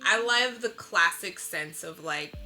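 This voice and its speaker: A woman speaking as an artist guest in a casual interview, talking in an expressive, conversational way. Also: lowish voice, measured pace, faint music behind, low-quality recording.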